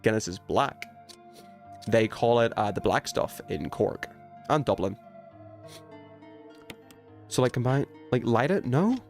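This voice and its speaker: A man doing casual, excitable gaming commentary, thinking aloud in slang as he chats with stream viewers. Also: mid-range voice, slow pace, pauses often, faint music behind. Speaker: video game livestreamer.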